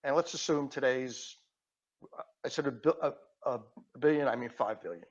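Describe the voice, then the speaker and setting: A man with a Queens accent walks through a technical explanation step by step, pausing on fillers and restarting his phrases. His audio has a muffled, low-fidelity edge. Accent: Queens New York. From Queens, New York. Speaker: guest. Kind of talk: casual podcast chat.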